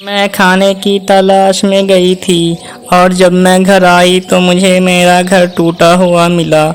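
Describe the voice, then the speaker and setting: A man's voice: sits high, monotone, slow pace, clean tone, faint music behind. A man voicing a distressed, grateful female bird in a children's cartoon, with a plaintive manner. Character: distressed, grateful female bird whose home was destroyed.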